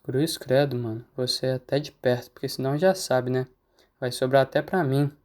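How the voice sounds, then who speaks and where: A man's voice: mid-range voice, steady tone, normal speed, a little mellow, natural voice. A man playing a laid-back, slangy Brazilian student in an amateur radio drama, casual and unbothered.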